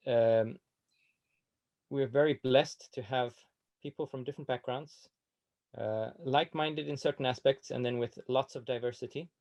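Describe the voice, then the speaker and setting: Man hosting an open day, halting welcome speech, mid-pitched voice. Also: a little mellow. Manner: hesitant, many fillers. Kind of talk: formal welcome address.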